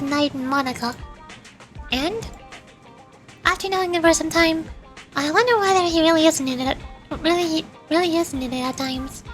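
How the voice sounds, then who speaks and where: Woman putting on a high-pitched perky cat girl. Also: natural voice. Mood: reflective. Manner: chatty, cajoling, cocky. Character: perky, scheming young cat girl mercenary. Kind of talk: video game dialogue read aloud. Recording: loud music behind the voice. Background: game music.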